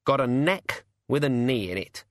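A man's voice: medium pitch, expressive, normal speed, no echo, studio quality, pitched above the performer's own voice, close to an everyday voice. A man voicing a wry, gossipy talking raven who makes sardonic asides.

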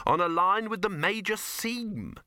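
A man's voice: high-pitched, very animated, unhurried, sharp tone, dry recording, studio quality, pitched above the performer's own voice, full character voice. A man voicing an earnest dwarf miner who explains things expansively.

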